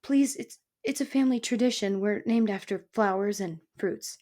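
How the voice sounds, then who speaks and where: A woman's voice: mid-range voice, moderate pace, dark tone, close to an everyday voice. A woman voicing a small, timid young female mouse in a storybook, stammering and pleading anxiously. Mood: timid, nervous.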